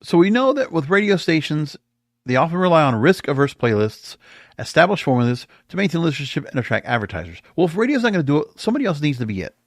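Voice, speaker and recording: Animated man hosting a podcast, dry sound. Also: a little high, fast talker, no room sound. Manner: conversational, emphatic, partly reading aloud. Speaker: podcast host. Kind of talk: opinionated music industry commentary.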